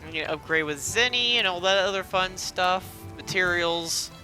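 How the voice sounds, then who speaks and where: A man's voice: sits high, moderate pace, sharp tone, low-quality recording. A man giving casual let's play commentary in a rambling, self-interrupting way, with game music behind him. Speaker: gaming video host. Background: video game music and dialogue.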